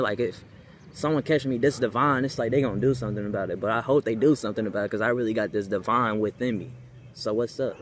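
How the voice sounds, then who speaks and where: A man's voice: medium pitch, fairly bright tone. A young American man talking casually and off the cuff to viewers, in a slangy, rambling way with emphatic repetition. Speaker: spiritual video creator. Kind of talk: casual unscripted monologue to viewers. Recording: clearly noisy background.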